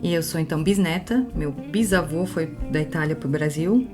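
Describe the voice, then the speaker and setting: A woman from Brazil, hosting a language podcast in a clear, friendly, teacherly way. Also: deep voice, normal speed, music in the background. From southern Brazil. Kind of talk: casual conversational podcast chat.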